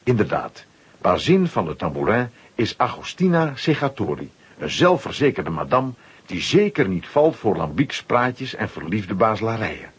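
A man with a husky voice narrating a children's audio drama in a scripted storytelling style. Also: fairly low pitch, big pitch swings, moderate pace, a little hiss or hum. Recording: slightly dull recording.

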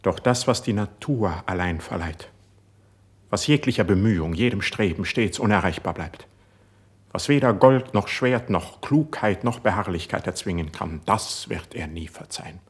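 A man playing a young, proud, wounded poet in a classic verse radio drama, declaiming intensely with a breathy voice. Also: sits low, normal speed. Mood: bitter, resentful.

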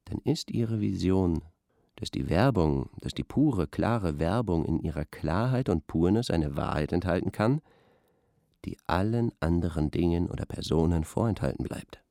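Deep-voiced, pompous male intellectual. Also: expressive, normal speed, vocal fry, warm tone, no room sound, clean studio sound. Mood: earnest, pompous. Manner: pompous, academic, earnest analysis. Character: earnest, pompous male intellectual expert on advertising. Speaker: expert guest on a radio discussion. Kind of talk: radio play acting as an interview.

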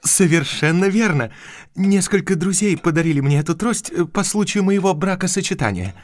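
High-voiced, excitable young country physician. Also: male voice, normal speed. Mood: delighted. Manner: effusive, courteous, hesitant. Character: young, eager, unpractical country physician, courteous eccentric science enthusiast. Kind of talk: Russian audio drama acting.

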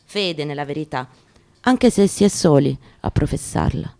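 Low-voiced woman giving a dramatic reading. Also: animated, normal speed, vocal fry. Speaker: stage actress reading from a book. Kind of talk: dramatic literary reading with guitar accompaniment. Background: music behind the voice.

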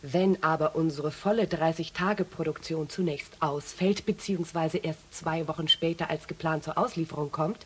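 A woman playing a logical, level-headed astronaut researcher in a radio play, reasoning deliberately. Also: sits low, a little hiss or hum, lightly acted. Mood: analytical. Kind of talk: German science fiction radio play.

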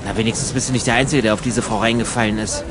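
A man's voice: on the low side, steady tone, racing, crowd noise. A man in casual banter, talking in a dry, grumbling, argumentative manner. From Germany. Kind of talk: casual banter in an audio collage.

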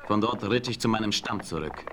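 An actor playing a proud young Comanche chief in a 1970s radio play, calm and measured. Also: male voice, on the low side, a crowd in the background, natural voice. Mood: solemn.

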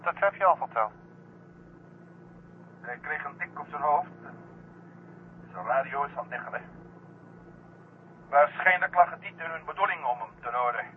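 A man's voice: a little high, lively intonation, normal speed, long pauses. A male actor playing an astronaut reporting over a filtered radio link in a 1970 science fiction radio drama. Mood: grave. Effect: radio transmission filter. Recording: narrow phone-like radio link, faint noise.

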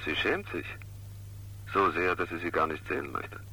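A very sharp, creaky, low-pitched go-between. He is raspy, formal and insistent, menacingly polite in the style of a sixties German radio thriller. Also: expressive, normal speed, heavily acted, poor audio. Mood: coolly polite. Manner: formal, clipped, evasive. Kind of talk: 1960s German radio crime drama acting.

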